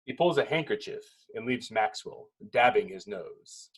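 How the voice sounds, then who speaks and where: A man with a husky voice at a middling pitch narrates a film script. He delivers stage directions dramatically, in short phrases broken by pauses. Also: lively intonation. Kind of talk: dramatic screenplay narration in a table read.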